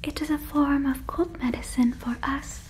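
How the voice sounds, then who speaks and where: A woman playing a gentle, curious, naive female alien in an ASMR roleplay, in a breathy whisper with stilted, formal, careful phrasing, close on the microphone. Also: sits high, fairly even intonation, moderate pace, a little hiss or hum, lightly acted. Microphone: close fluffy microphone.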